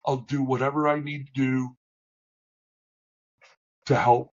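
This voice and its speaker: A middle-aged man with a big booming voice, talking candidly as an interview guest, with many restarts and fillers. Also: little pitch movement, takes it slowly, highs cut off. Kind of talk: reflective conversational interview.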